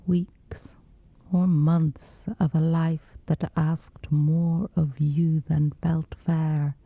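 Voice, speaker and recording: Very slow, whispery woman guiding sleep meditation. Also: deep voice, level tone, no room sound, phone-quality sound. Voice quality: breathy, whispery. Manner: gentle, soothing, unhurried. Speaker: meditation guide.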